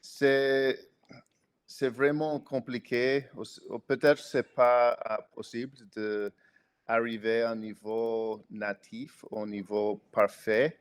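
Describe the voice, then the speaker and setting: A man's voice: mid-range voice, flat delivery, takes it slowly, clean tone. A man with an American accent, a native English speaker, speaking as a podcast guest in careful, hesitant conversation full of restarts. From United States. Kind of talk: casual conversation.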